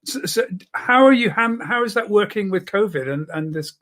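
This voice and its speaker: An older woman from Britain hosts a relaxed online interview. The voice sits fairly low for a woman, and the delivery is halting, with repeated words and small chuckles. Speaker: show host. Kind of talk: casual video interview.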